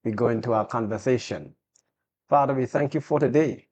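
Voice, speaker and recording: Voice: mid-range voice, brisk, a little mellow, husky. A talk-show host, who speaks earnestly and prayerfully, affirms the guest often, and asks interview questions.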